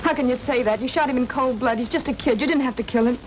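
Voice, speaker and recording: A woman's voice: high voice, quick pace, natural voice. An actress playing a bitter, distraught woman who accuses people emotionally, in an old-time radio drama. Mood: shocked, accusing. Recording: noisy old radio broadcast with music.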